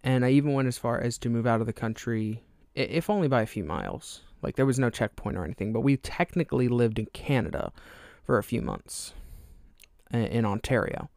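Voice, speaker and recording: A man's voice: mid-range voice, animated, a little fast, gravelly, a little mellow, dry recording, clean studio sound. A young man with a fairly neutral American accent, hosting his podcast alone, chatting casually and rambling off topic with fillers and small laughs. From Alabama. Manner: rambling, self-deprecating, loses track. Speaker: podcast host. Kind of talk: solo rambling podcast monologue.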